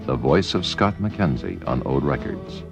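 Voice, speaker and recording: Very deep, gravelly ad announcer. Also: male voice, very animated, unhurried. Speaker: commercial announcer. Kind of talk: 1960s record advertisement voice-over. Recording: dull lo-fi 1960s radio commercial. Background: loud music.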